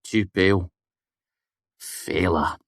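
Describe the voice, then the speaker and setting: Man playing a grave, deadpan student. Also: sits low, dry recording, studio quality, voice pushed up, full character voice. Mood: terse teasing. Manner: deadpan, terse, slow. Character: grave, dry-witted foreign male student friend.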